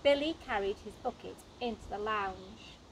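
A woman's voice: medium pitch, moderate pace, a little hiss or hum, poor audio. A woman reading a children's storybook aloud expressively, in the manner of a teacher at storytime.

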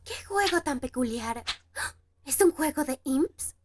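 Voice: high-pitched, lively intonation, unhurried. A performer voicing a shy, bookish young owl demon prince boy in a cartoon fan dub, timid and polite, with a Latin American Spanish accent. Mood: puzzled.

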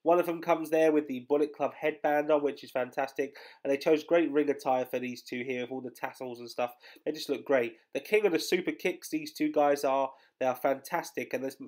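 A man's voice: mid-range voice, a little mellow. A young man with a congested, under-the-weather voice hosting a collectibles news vlog, chatty and rambling with many fillers. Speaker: collectibles channel host. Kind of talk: casual news vlog voice-over.

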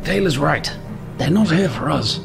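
A man acts out a steady, reassuring rebel in a space radio play. His voice is gravelly and a little high for a man, with music playing underneath. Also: moderate pace. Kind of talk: scripted audio drama dialogue. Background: background music.

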